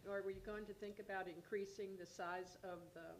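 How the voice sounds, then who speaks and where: Soft-spoken woman asking questions. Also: mid-range voice, little pitch movement, moderate pace, slight background noise. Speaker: council member. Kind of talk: meeting questions.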